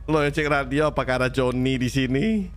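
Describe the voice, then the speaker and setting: A man's voice: medium pitch, a little fast, soft background music. A man roleplaying in a game, protesting and repeating his words. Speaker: streamer playing roleplay game. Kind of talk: improvised game roleplay banter. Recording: game audio with background noise.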